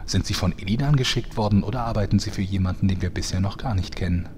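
Low male voice, acted, pompous serial narrator, mock-suspenseful, scripted parody, faint background noise. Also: quick pace, close to an everyday voice. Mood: dramatic, mock-suspenseful. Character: dramatic male soap-opera narrator, tongue-in-cheek and pompous.

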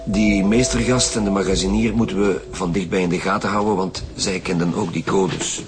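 An actor with a Flemish accent playing a blunt, impatient, commanding chief inspector in a radio police drama. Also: male voice, low voice, steady tone, moderate pace, music in the background, close to an everyday voice. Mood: brisk, grim.

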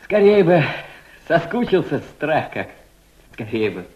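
An actor playing a devoted, sensitive young male aide in a Soviet radio play, emotive and halting. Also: a little high, big pitch swings. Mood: wistful. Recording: muffled archival radio drama recording.

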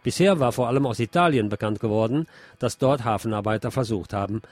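A man presenting scripted news on community radio, reading in a measured reporting style with the odd dry aside. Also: medium pitch, darkish tone, no echo, faint music behind.

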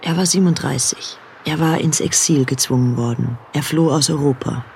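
A man as the storyteller of a radio drama, giving sober, measured scripted narration. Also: fairly high pitch, vocal fry, a little hiss or hum. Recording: music under the narration.

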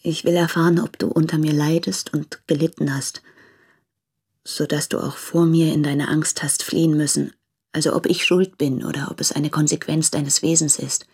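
A frank, anguished married woman in a literary radio drama. Her voice is low and husky. She speaks earnestly and deliberately, as if confiding in the man she loves. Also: little pitch movement, darkish tone. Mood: insistent, searching.

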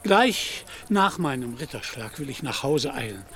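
An actor playing a deluded, proud would-be knight errant in a German radio play, speaking in lofty, archaic chivalrous phrases. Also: male voice, medium pitch, very animated, unhurried, fairly bright tone. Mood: earnest, solemn. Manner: pompous, archaic chivalrous diction.

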